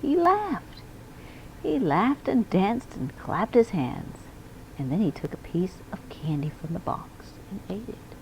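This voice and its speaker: A woman reading a children's picture book aloud in an expressive storytelling manner. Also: medium pitch, slow pace, a little hiss or hum, low-quality recording. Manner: expressive, acts out dialogue and emphasis. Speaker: school media specialist reading aloud.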